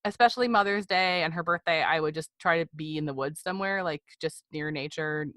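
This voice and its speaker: Woman, thirties, American accent, low voice, candid podcast guest, chatty storytelling, dry close sound. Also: fairly quick, fairly bright tone, dry recording. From Seattle area, United States. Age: 30s. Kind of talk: personal storytelling in a conversational interview.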